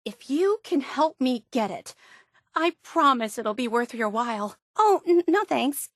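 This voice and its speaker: A woman's voice: high-pitched, animated, measured pace, dry recording, studio quality. A woman playing an eager, obsessive young superfan and tour guide in a video game, gushing with earnest, geeky enthusiasm. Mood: earnest, guarded. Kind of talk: video game character dialogue.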